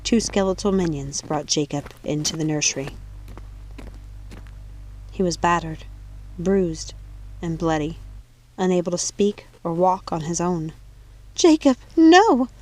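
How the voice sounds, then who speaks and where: A woman's voice: lowish voice, steady tone, unhurried, pauses often, darkish tone, rough recording. A woman reading an audiobook as narrator, in a dramatic scripted storytelling style. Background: music.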